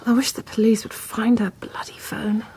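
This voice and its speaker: An actress playing a guarded, worried grown daughter in a radio drama, in a hushed, clipped, intimate manner. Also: female voice, a little high, level tone, moderate pace, in a whisper, natural voice. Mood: tense, guarded.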